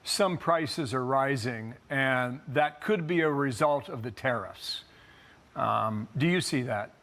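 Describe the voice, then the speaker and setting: A man's voice: mid-range voice, slow, fairly bright tone. A man putting questions to a guest in a news interview.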